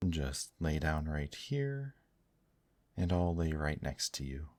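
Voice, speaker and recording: A man playing a gentle, protective male demon in an intimate ASMR roleplay, speaking softly, tenderly and reassuringly to the listener. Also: rumbling low, sing-song, no room sound, clean studio sound, exaggerated voice. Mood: soothing, tender. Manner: soft, tender, reassuring, unhurried.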